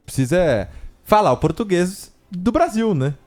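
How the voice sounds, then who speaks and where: A man co-hosting a radio show, asking his guest measured, reflective follow-up questions. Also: high-pitched, big pitch swings, a little mellow, no echo. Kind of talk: interviewing a guest. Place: radio studio.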